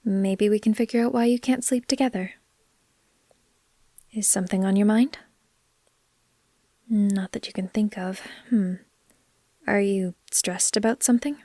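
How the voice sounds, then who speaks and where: A woman playing a caring, gently teasing vampire in a soft, unhurried, comforting ASMR roleplay, sometimes slipping toward a whisper. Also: medium pitch, steady tone, no echo, close to an everyday voice. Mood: gentle, concerned.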